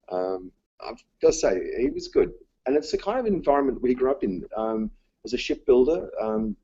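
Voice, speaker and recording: A man speaks in a low, husky voice with a dark, mellow tone, giving a talk. His first language was Dutch. He hesitates a lot, filling the gaps with ums. The sound is dull and lo-fi. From Australia. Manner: halting, many ums and uhs. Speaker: teacher giving a conference talk. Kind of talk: presentation talk. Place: conference room.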